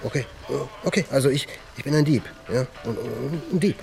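A man's voice: mid-range voice, normal speed, vocal fry, a little mellow, faint music behind, lightly acted. An actor playing a jittery, boastful young junkie thief in a crime radio drama, stammering and compulsively repeating his phrases. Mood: nervous, boastful.

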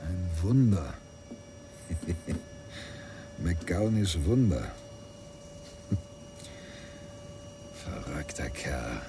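An actor playing a dry, controlled lawyer in a radio drama, speaking in a measured, matter-of-fact way. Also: male voice, low-pitched, sing-song, gravelly, over music, heavily acted. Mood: amused. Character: dry, controlled male lawyer tracking down an undertaker. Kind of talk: German radio drama acting.

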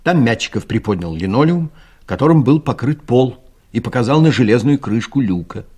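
A man's voice: medium pitch, lively intonation, normal speed, a little mellow, husky. A man narrating a scripted comic story as the storyteller of an audio play.

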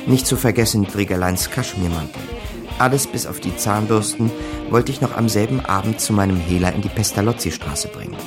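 An actor playing a smooth, glib small-time con man narrating his capers in a German radio crime drama. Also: on the low side, a little fast, music in the background, lightly acted. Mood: dry, self-satisfied. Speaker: narrator and lead character.

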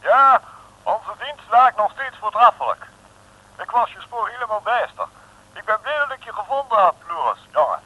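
An actor playing a breezy, pompous, chummy police chief over the telephone in an old comic radio serial. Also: male voice, sits high, big pitch swings, normal speed. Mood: jovial. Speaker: actor playing a superior officer. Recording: muffled old radio recording.

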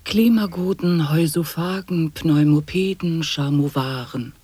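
A woman acting a sly commentator on absurd wordplay in an avant-garde radio play, husky and mellow in voice. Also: deep voice, measured pace, no echo. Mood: deliberate.

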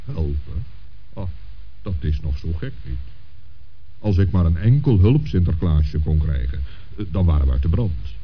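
An actor playing a dignified, kindly old saint in a children's radio play, speaking in a measured, patient and reassuring manner. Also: male voice, low-pitched, expressive, husky, highs cut off. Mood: thoughtful, kindly.

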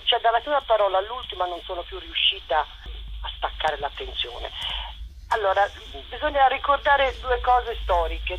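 A woman directing a play, talking in a radio interview in an animated, rambling way full of restarts. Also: fairly low pitch, a little hiss or hum, rough recording. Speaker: theatre director.

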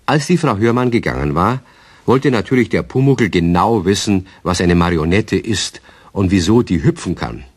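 Sex: man. Role: narrator. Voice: husky, fairly low. Style: calm storybook narration. Sound: dry and close. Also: moderate pace, no room sound.

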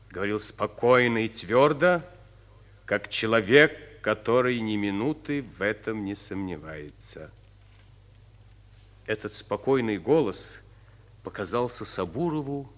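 An actor narrating a wartime radio drama as a grave storyteller, in a solemn, deliberate, dramatic manner. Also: male voice, lowish voice, a bit bright.

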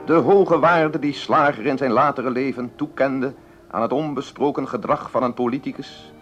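A man's voice: mid-range voice, moderate pace, a bit bright, close to an everyday voice. An actor playing a formal male narrator reading a scripted biography within a radio play. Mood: solemn. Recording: dull tape with music behind.

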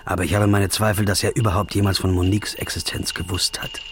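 A man's voice: deep voice, level tone, quick pace, noisy background, natural voice. An actor playing a haggard, obsessive man searching for the truth, speaking tersely and narrating in a brooding voice-over. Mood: determined. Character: haggard male detective, hungover and obsessive. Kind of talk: film-style drama with voice-over narration.

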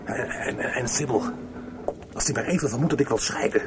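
An actor playing a nervous, evasive married man with a secret lover, pleading and coaxing in hushed agitation in a Dutch radio play. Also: mid-range voice, fairly quick, heavy background noise, lightly acted. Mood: agitated, evasive.

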